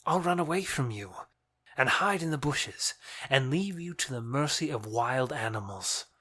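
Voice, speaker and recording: A man's voice: medium pitch, animated, moderate pace, bright, forceful tone, dry recording. A man playing a scornful, exasperated young lover in an audio drama, with a curt manner. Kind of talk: theatrical audio drama acting.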